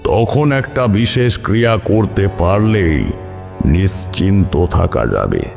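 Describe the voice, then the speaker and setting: An actor playing a solemn older male tantric priest in a horror cartoon, speaking formal, measured counsel. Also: low-pitched, wildly swooping pitch, vocal fry, darkish tone, exaggerated voice. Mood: grave, reassuring. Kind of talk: dramatic Bengali horror cartoon voice acting. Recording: long reverb, music behind. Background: music.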